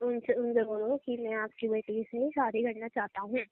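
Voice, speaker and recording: A woman's voice: a little high, steady tone, moderate pace. A young woman in casual relationship banter on a phone call, with a teasing, playful way of talking. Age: young adult. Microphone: phone line. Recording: tinny narrowband phone call.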